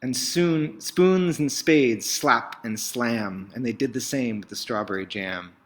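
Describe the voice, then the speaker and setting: A man reading a children's picture book aloud in an expressive, theatrical way, with emphatic swells and dramatic hushes. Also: mid-range voice, slow.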